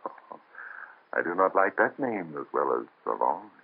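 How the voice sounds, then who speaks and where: Earnest young doctor, very deep and husky, thin phone-like old radio sound. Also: male voice, sing-song, a little slow, exaggerated voice. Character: kind young male doctor of the Revolution. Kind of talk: 1930s radio drama acting. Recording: muffled lo-fi old radio transfer.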